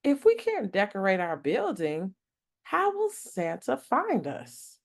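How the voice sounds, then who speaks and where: A woman's voice: mid-range voice, wildly swooping pitch, slow, exaggerated voice. A woman voicing an earnest, curious little girl in a picture book, with a wondering, questioning manner. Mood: curious, worried.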